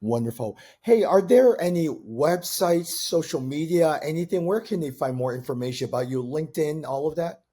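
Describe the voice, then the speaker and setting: A man hosting a podcast interview, losing his voice and sounding husky, encouraging his guest. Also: on the high side, animated, normal speed, hardly any pauses, mellow. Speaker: podcast host. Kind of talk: conversational interview.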